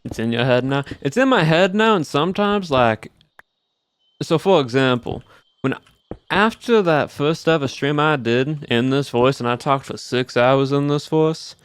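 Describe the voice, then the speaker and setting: A man's voice: a little high, lively intonation, unhurried, smooth. A man with a put-on American Southern accent and a lax drawl that draws out sentence endings, chatting casually on a game stream. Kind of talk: casual gameplay livestream chat.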